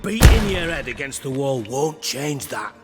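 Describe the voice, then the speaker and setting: An actor playing a menacing, blunt underworld boss with dry sarcasm in a video game. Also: male voice, mid-range voice, sing-song, a little slow, in a large hall, loud music behind the voice. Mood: exasperated. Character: menacing, sardonic, foul-mouthed male underworld crime boss.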